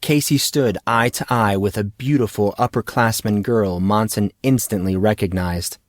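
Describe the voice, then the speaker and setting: A man's voice: lowish voice, normal speed, no echo, studio quality. A man reading a scripted fantasy story as an audiobook narrator.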